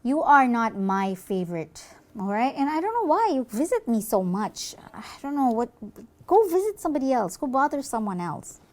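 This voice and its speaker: A woman's voice: high voice, big pitch swings, normal speed. A woman performing conversational puppet-show dialogue in an informal, protesting manner.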